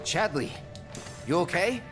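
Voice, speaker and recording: An actor playing a terse, world-weary young mercenary in a video game, deadpan and brief. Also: male voice, sits high, measured pace. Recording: music under the voice.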